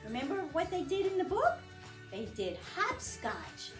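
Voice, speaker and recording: Slow, highly animated woman reading to children. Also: faint music behind. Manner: sing-song, simple questions to child listeners. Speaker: children's librarian reading storytime. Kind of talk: read-aloud storytime for young children.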